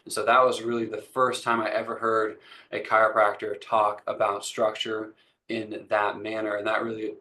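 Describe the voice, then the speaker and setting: Webinar host, low and monotone but bright-toned, enthusiastic. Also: male voice, moderate pace. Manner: enthusiastic, repetitive. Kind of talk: guest introduction.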